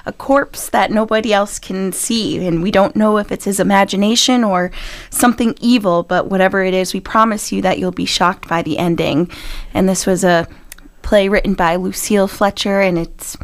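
A woman communications director giving brisk, promotional answers in a radio interview, laughing easily. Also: mid-range voice, fairly even intonation, no room sound.

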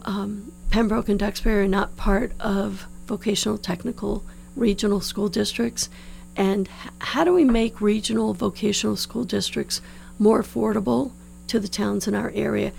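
A woman in her fifties from New Orleans, slightly hoarse, giving earnest, explanatory answers as a political candidate in a studio interview. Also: on the high side, darkish tone. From New Orleans, United States. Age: 50s. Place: radio studio. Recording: clear background hum and noise.

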